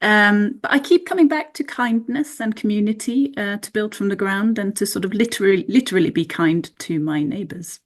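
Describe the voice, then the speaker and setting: A woman's voice: mid-range voice, moderate pace, hardly any pauses. A woman from Sweden, a native Swedish speaker, talking earnestly as a panel guest in a reflective, hesitant way. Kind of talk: earnest casual discussion.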